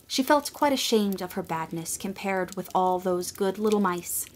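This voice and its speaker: A woman giving scripted storybook narration for a radio play, gentle and expressive, sometimes dropping to a hush. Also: mid-range voice, moderate pace.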